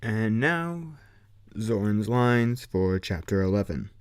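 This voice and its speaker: A man's voice: fairly low pitch, animated, takes it slowly, clean tone, dry recording, clean studio sound. A man working as an amateur voice actor, talking casually between takes of character lines.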